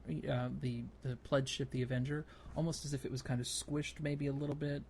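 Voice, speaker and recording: A man hosting a casual gaming podcast, talking in a halting way as he thinks aloud. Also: medium pitch, little pitch movement, darkish tone, a little hiss or hum. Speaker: podcast host.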